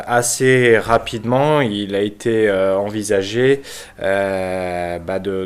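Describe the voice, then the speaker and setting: A man from France who is a local mayor, giving an unscripted explanation in a halting, hesitant manner with frequent pauses. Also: on the low side, clear, resonant tone. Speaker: village mayor and local councillor.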